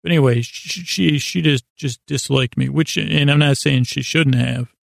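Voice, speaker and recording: An American man telling rambling, improvised stories as a podcast host, halting and often interrupting himself with deadpan whimsy. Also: medium pitch, no room sound, clean studio sound. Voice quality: gravelly, creaky. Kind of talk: rambling, improvised monologue storytelling.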